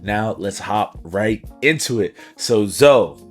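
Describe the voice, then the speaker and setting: A man with a fairly low voice hosts a laid-back podcast, talking in an upbeat, slangy way. A bit of rasp sits in his voice after a week of partying, and faint music plays underneath. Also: expressive, slow pace. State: slightly raspy after partying. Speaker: podcast host. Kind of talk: casual podcast chat.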